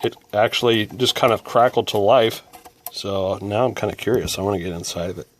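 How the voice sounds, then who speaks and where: A man's voice: fairly low pitch, fairly even intonation, a little fast. A man giving a casual hands-on repair demonstration, musing and thinking aloud as he works. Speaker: radio repair hobbyist presenter.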